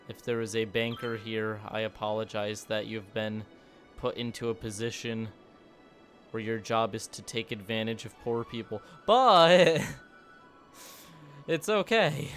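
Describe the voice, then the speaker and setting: A man's voice: on the low side, a little slow, fairly bright tone, faint music behind. A man streaming a city-building game, chatting casually and joking with sarcasm. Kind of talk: casual gameplay commentary.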